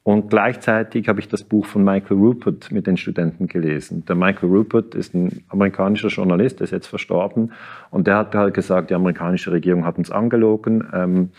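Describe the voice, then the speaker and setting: Deep-voiced man, measured and explanatory. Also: fairly even intonation, darkish tone, lo-fi sound. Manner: measured, explanatory, with frequent restarts and hesitations. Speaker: historian and interview guest. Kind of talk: interview answers.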